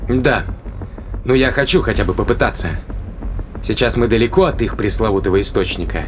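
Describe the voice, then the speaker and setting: A male actor plays a determined man pushing a desperate plan in an audio play. His voice sits fairly low and he argues insistently, piling up rhetorical questions. There is noise behind him. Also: fairly quick, natural voice. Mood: insistent, fervent. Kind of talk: dramatic audio play acting.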